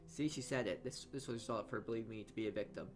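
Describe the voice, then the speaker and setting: A man reading a visual novel aloud as a let's-player, stumbling over lines and adding his own asides. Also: lowish voice. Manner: halting reading with frequent stumbles. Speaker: video game let's-player.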